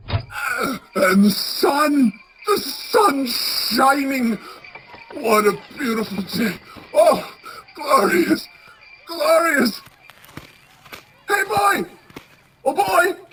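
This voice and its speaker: A woman playing an excitable, repentant old male miser in a radio play, stammering and exclaiming. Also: high voice, very slow, pauses often, slight background noise, heavily acted, low-quality recording. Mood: giddy, overjoyed. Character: frightened old male miser, desperately repentant, then giddy.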